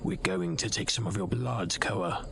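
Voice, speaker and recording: A man playing a scheming, condescending nobleman in an audio drama, gravelly and whispery, with patronizing, theatrical delivery. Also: medium pitch, big pitch swings, a little slow, background noise, exaggerated voice. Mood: silky menace. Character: scheming, condescending nobleman, silky villain plotting a coup.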